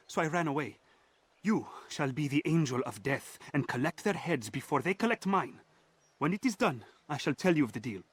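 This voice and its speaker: A man's voice: fairly high pitch, breathy edge. An actor playing a frightened male informant on the run, jittery and breathless.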